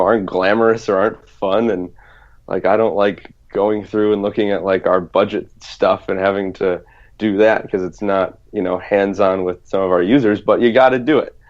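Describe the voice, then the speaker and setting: Low-voiced man, relaxed rambling guest. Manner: relaxed, rambling with many fillers. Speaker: startup co-founder guest. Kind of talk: casual interview answers. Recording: dull lo-fi sound.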